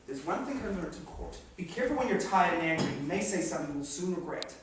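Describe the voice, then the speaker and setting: A man's voice: a little high, animated, quick pace, natural voice. A man playing a tired, sarcastic young husband in a theatrical stage comedy, arguing dryly and emphatically. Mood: weary, sarcastic. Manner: dry, argumentative, emphatic. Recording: distant, echoey, very noisy stage recording.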